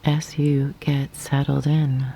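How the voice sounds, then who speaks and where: Breathy, low, slow-speaking woman guiding meditation. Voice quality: soft, breathy, half-whispered. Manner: gentle, calm instruction with long pauses. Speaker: meditation guide. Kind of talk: guided yoga nidra meditation. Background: birdsong and nature sounds.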